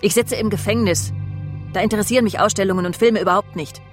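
A woman's voice: medium pitch, fast, lightly acted. Actress doing jailed tv journalist lady, snooty n evasive, kinda coy pleading, radio krimi with music under it. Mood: indignant, agitated. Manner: haughty, defensive, drifting into coy pleading. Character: evasive, self-absorbed woman TV journalist jailed for murder. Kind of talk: German radio crime drama acting.

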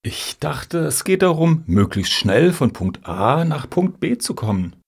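An actor in a satirical radio play as a sarcastic, grumbling rival applicant with a husky, gravelly voice and a sneering manner. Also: male voice, fairly high pitch, very animated, measured pace, full character voice. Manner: sneering, sceptical.

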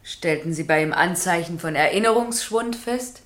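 A woman's voice: fairly low pitch, moderate pace, a bit bright, lightly acted. A woman acting a cool state prosecutor who questions witnesses formally and exactly in a radio drama. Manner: formal, probing, precise. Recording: roomy, echoing radio drama studio.

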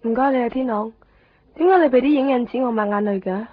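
Woman acting fretful girlish student, high voice, plaintive, clingy, radio drama, dry muffled sound. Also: fast talker, dry recording, lightly acted. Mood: sulky, calming. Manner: whiny, plaintive. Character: tearful, childlike young female student, fretful, clingy.

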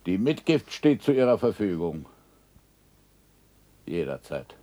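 An actor in a German radio play, playing a stubborn, sardonic aging astronomer with a gravelly, husky voice and deliberate, emphatic delivery. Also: male voice, low-pitched, big pitch swings, dark tone, heavily acted. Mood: dry, curt. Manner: deliberate, emphatic speech-making.

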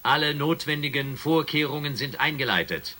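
An actor playing a brisk spaceship commander in a science-fiction radio drama, giving clipped orders and announcements. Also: male voice, fairly high pitch, pushed and bright. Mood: authoritative.

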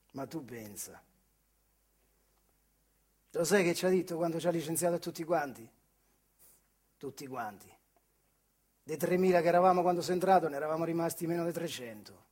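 Male actor as retired factory hand, older, Marche accent, stage monologue, husky, high-pitched, bitter, colloquial, rhetorical. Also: a little mellow. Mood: bitter, weary. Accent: central Italian, Marche. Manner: colloquial, rhetorical questions, swings from muttering to outbursts. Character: bitter retired Italian factory worker, weary, sardonic, impassioned. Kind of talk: theatrical monologue in dialect-tinged speech.

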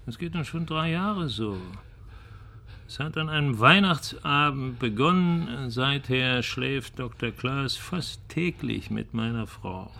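Sardonic boss, creaky voice. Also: male voice, mid-range voice, expressive, pushed and bright, exaggerated voice. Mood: bitter, sardonic. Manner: deliberate, mocking, condescending. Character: sardonic, cynical male company boss. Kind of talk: German radio drama acting.

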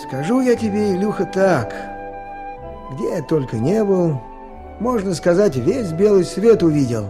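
A proud, folksy working man of the Siberian forests, musing in rustic speech. His high voice swings widely over clear background music in a radio drama. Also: very animated, unhurried. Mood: musing, admiring. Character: folksy, proud Siberian timber-rafter, fatherly philosopher.

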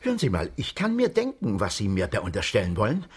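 A man's voice: fairly low pitch, huge pitch swings, fast, breathy edge, heavily acted. An actor playing a shifty con man in his fifties in a radio crime comedy, protesting his innocence in a self-pitying whine. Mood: indignant, self-pitying. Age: 50s.

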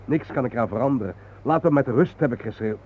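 An actor playing a weary, haunted man who guides a companion, speaking gravely and reflectively in a radio drama. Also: mid-range voice, lively intonation, quick pace, natural voice. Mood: troubled. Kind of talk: radio drama acting, at times poetic. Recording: tinny narrow-band old radio recording, hissy.

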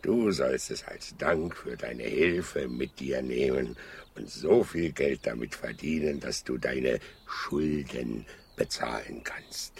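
An actor playing a solemn jungle animal elder in a children's radio play, speaking deliberately. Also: male voice, very deep voice, gravelly, slight background noise, full character voice.

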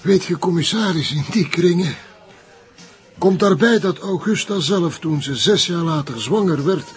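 High-voiced, careful old Flemish journalist. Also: male voice, lively intonation, measured pace. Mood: discreet, gossiping. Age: older. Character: cautious old retired Flemish journalist, wry and discreet. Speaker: retired journalist. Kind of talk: TV crime drama dialogue.

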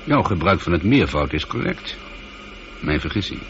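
Low-voiced, wry male space pilot. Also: big pitch swings, normal speed. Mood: teasing. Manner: dry, informal, conversational. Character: male space pilot, wry, teasing, easily irritated but apologetic. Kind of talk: science fiction radio drama acting. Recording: dull, lightly noisy old radio recording.

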